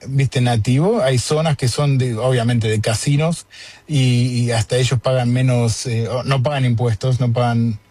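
Husky Argentine man, joking and easygoing. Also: medium pitch, steady tone, normal speed, a little mellow, dry recording. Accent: Rioplatense Argentine Spanish. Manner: easygoing, joking, rambling with fillers. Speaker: interviewee. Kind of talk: casual conversation.

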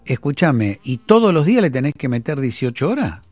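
Insistent Argentine man, lively pitch. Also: fairly quick, dry recording, faint music behind. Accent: Rioplatense Spanish. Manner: probing, insistent, recaps figures. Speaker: radio host. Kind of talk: questioning phone-in chat.

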